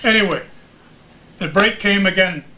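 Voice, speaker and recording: An older man reminiscing casually as a former radio broadcaster, an animated storyteller who acts out the exchanges he remembers. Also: sits high, normal speed, a little hiss or hum. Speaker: retired radio broadcaster recalling his career.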